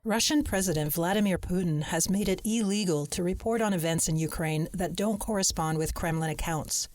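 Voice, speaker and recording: Measured, dry-sounding radio reporter. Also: mid-range voice, no room sound. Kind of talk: scripted narration.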